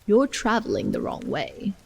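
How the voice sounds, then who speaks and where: A woman's voice: medium pitch, huge pitch swings, moderate pace, creaky, a little mellow, slightly rough, full character voice. A woman voicing a stern male train guard in a storybook.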